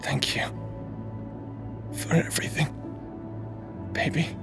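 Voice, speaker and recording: An actor playing a weary, tender husband in a dramatic audio roleplay, speaking wistfully and murmuring with breathy pauses. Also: male voice, over music, close to an everyday voice. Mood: tender, solemn.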